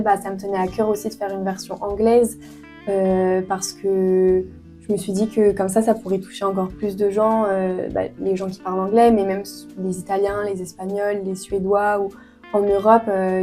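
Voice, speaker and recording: A woman's voice: medium pitch, dark tone. A young woman in her twenties from France, answering interview questions earnestly with many hesitations and fillers. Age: 20s. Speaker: author guest.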